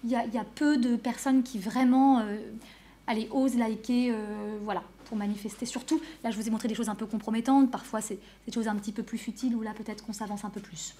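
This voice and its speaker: A woman's voice: on the high side, fairly even intonation, dark tone, slight background noise. Woman researcher giving an academic talk. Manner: measured, hesitant with restarts in answers. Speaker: conference panelist, researcher.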